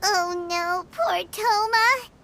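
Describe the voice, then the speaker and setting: A woman voicing a tiny, excitable, childlike companion sprite in a video game, squeaky, blunt and inquisitive. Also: drawn-out words, full character voice. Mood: startled. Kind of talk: scripted video game voice acting. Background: game music.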